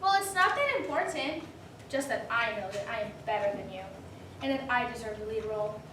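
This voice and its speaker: A woman's voice: on the high side, animated, a little fast, sharp tone. A woman playing a smug, sarcastic teenage school bully with a taunting, mock-sweet delivery in a reader's theater performance. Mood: smug, menacing. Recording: distant mic in echoey room, faint noise.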